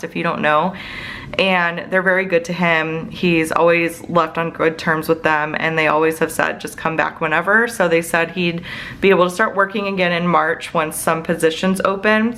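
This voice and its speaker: A chatty woman in her late thirties talks through her household budget from her desk chair at home. Her voice sits a little low for a woman, and she rambles loosely with fillers and quick laughs. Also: a bit bright. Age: 30s. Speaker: budgeting channel host. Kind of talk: casual chatty budget update.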